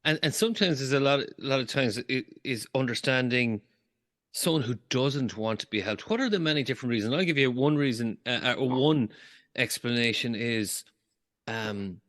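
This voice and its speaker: A man hosting a podcast interview, asking questions and sharing a personal story in a soft-spoken way. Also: mid-range voice, lively intonation, normal speed, in a large hall. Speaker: podcast host.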